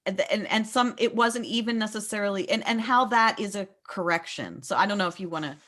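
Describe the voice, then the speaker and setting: A woman with a medium-pitched voice discusses a book conversationally. She pauses and doubles back on words while shaping her points, as one of the people leading the talk. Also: fairly bright tone.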